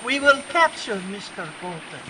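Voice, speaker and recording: A woman's voice: on the high side, very animated, moderate pace. A woman playing a gloating, menacing female mastermind in an old-time radio adventure. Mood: gloating menace. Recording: live stage recording with clear background noise.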